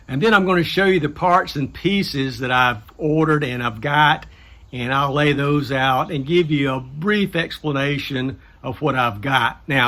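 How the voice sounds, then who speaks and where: A man's voice: on the high side, fairly bright tone. A man hosting a do-it-yourself build series, explaining things casually in a folksy, plain-spoken way with colloquial grammar.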